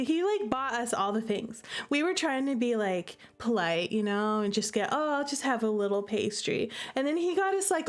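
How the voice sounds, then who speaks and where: A woman giving casual livestream commentary, chatty, playful and gushing, punctuating her points with emphatic check-ins to her chat. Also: high voice. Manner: emphatic, repeats "okay" and "listen" as tags. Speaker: game streamer reacting with live chat.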